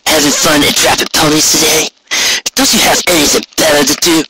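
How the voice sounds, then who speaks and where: A man's voice: fairly high pitch, fairly even intonation, a little fast, very noisy, pitched above the performer's own voice, lightly acted. A man voicing a cynical young female pony drug dealer with cocky, brusque street talk, in a story reading. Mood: spiteful.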